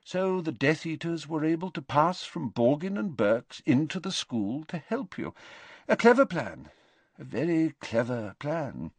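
A man voicing a wise, gentle, very old male wizard headmaster who speaks mildly and calmly. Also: fairly high pitch, a little slow, no room sound, voice pushed up, heavily acted. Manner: mild, soft-spoken and calm.

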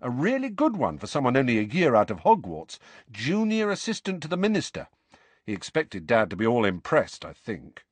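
Blunt teenage lad, acted by a man, talkative and grumbly, animated pitch. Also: normal speed, dry recording. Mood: confiding. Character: teenage boy, blunt, grumbling, eager to explain.

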